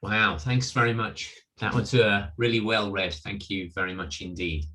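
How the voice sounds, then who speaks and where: A man preaching informally, with halting, hesitant phrasing and repeated words. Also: lowish voice, steady tone, pushed and bright. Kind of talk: informal sermon.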